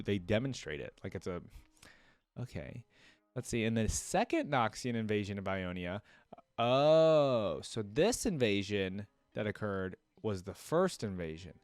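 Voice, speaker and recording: A man hosting a reaction video, reading aloud and commenting casually as he thinks out loud. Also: lowish voice. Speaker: reaction video host and streamer.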